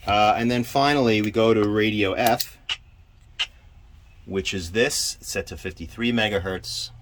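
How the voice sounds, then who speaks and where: A man giving an informal hands-on equipment demonstration, halting and thinking aloud as he goes. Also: lowish voice. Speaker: presenter demonstrating radio equipment. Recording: clear background noise.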